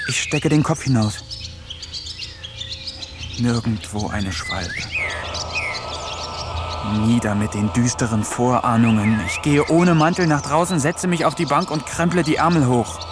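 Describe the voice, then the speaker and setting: A man's voice: mid-range voice, a little slow, long pauses, background noise, lightly acted. A man playing an eccentric village man who talks to animals, narrating a German radio play in a wry, musing first-person voice. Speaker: narrator and lead character.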